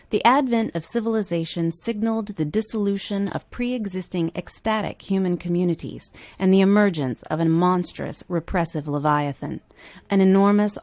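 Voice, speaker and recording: A woman podcast host reading scripted narration in measured, emphatic phrases. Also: lowish voice, darkish tone.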